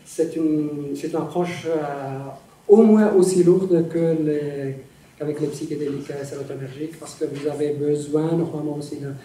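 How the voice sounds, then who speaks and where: A middle-aged man, a professor answering audience questions in an academic, halting way with many pauses, in a large lecture hall. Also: fairly high pitch, steady tone, warm tone. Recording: echoey hall, distant, some noise.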